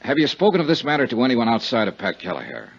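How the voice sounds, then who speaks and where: Hard-boiled, world-weary male investigator, lively inflection, wry and blunt, fifties radio drama with muffled sound. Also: medium pitch, quick pace, slightly rough. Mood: businesslike, blunt. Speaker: lead actor. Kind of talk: 1950s radio drama acting. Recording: dull 1950s radio recording, music behind.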